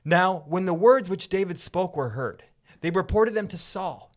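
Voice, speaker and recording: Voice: mid-range voice, animated, normal speed. A podcast host narrating scripture as a story, mixed with casual banter.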